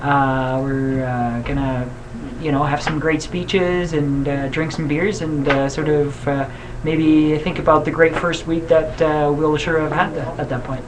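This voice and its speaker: A man's voice: fairly high pitch, steady tone, measured pace. A man from Switzerland, a campaign guest, answering interview questions hesitantly with many fillers. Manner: hesitant, many uhs and ums. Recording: audible background hiss.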